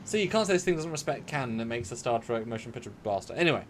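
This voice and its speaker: A man co-hosts a relaxed live chat from his room at home. He jokes easily, and his pitch swings up and down. A light hiss of noise sits under his video-call audio. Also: very fast. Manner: lively, chatty, joking. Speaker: co-host. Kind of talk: casual live discussion.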